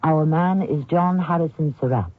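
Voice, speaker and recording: A man hosting a radio drama, giving scripted narration in a deliberate, theatrical manner. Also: on the high side. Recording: tinny old radio broadcast. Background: music.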